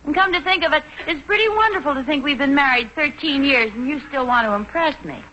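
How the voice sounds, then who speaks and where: An actress playing an affectionate, practical American wife in a 1940s radio comedy. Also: female voice, high-pitched, fast, pushed and bright. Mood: reassuring. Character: reassuring, affectionate, practical middle-aged wife. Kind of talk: 1940s radio sitcom acting. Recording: muffled, faintly noisy 1940s radio recording.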